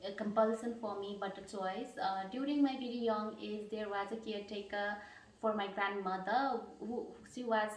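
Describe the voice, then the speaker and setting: A woman in her thirties from Nepal, answering mock job interview questions earnestly, pausing with fillers and restarting phrases. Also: medium pitch, steady tone. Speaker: job candidate. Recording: echoey room, distant mic.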